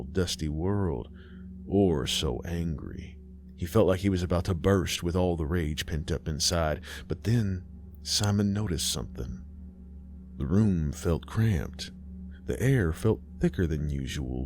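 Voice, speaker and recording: A man narrating a horror story in a slow, grim, deliberate way. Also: sits low, slight background noise.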